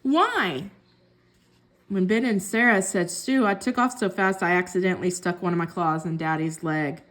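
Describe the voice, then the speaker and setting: A woman reading a children's book aloud as a storyteller, with expressive narration. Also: fairly low pitch, a little fast. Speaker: story reader.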